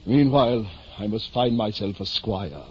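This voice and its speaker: An actor playing a delusional, grandiose gentleman knight near fifty, speaking lofty archaic English in a 1950s radio drama. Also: fairly low pitch, a little slow, a little hiss or hum, natural voice. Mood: lofty, resolute. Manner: lofty, archaic, pompous speech. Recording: muffled vintage 1950 radio broadcast.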